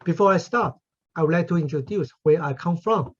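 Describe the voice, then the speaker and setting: A man from Taiwan with a Taiwanese accent giving a formal academic conference talk as a professor, speaking carefully and deliberately with small grammar slips. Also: fairly high pitch. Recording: muffled, husky lo-fi audio.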